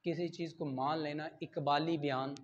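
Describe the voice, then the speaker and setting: A man's voice: on the high side, steady tone, normal speed, slight background noise. A man with a Pakistani accent lecturing as a law teacher, explaining through examples, asking and answering his own questions and mixing in English terms. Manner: code-switches into English terms. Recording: dull lo-fi lecture audio.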